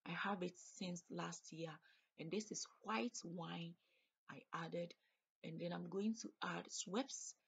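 A woman narrates her cooking in a relaxed, chatty way, describing each step as she works. Her voice sits a little low and has a breathy, husky edge. It sounds like a home kitchen recording. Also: slow. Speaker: cooking vlogger. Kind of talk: casual cook-along narration.

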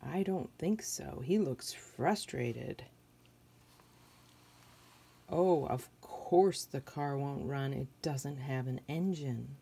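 American woman, middle-aged, children's storytime reader; low pitch with noticeable creak, asks the young listeners questions. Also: slow, mellow, a little hiss or hum. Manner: simple questions and observations addressed to children. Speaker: picture book reader for children. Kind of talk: read-aloud storytelling with asides to young listeners.

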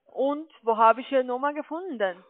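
A woman's voice: high voice, a little fast, no echo. A woman speaks through a tinny, band-limited telephone connection with no room sound. She has a foreign accent and searches for words as a non-native speaker. As a call center agent, she argues her side insistently. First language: not German. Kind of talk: phone sales call, arguing. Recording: narrow tinny phone line.

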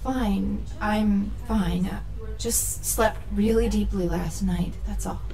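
A woman's voice: mid-range voice, steady tone, takes it slowly, creaky, crowd noise, rough recording. A woman playing a teasing, casual rebel pilot in an audio drama. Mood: evasive.

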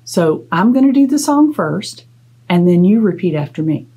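A woman's voice: fairly low pitch, sing-song, moderate pace, warm tone, breathy edge. A middle-aged woman hosting a children's storytime as a librarian, instructive and playfully dramatic. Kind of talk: children's storytime talk and read-aloud. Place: small indoor space, plain wall.

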